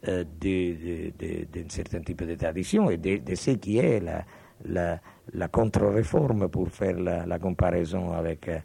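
An older man with a background tells stories in a meandering, digressive way, often losing the thread and coming back to it. His voice sits a little low and has a gravelly edge. The sound is dry and close. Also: measured pace, warm tone, no room sound. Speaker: guest. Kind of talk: reminiscing in conversation.

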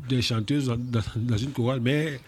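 A man's voice: mid-range voice, steady tone, normal speed, darkish tone, breathy edge. A man in his fifties with a Cameroonian French accent, a musician guest talking casually, often restarting and repeating words. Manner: hesitant, many restarts and repeats. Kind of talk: casual interview.

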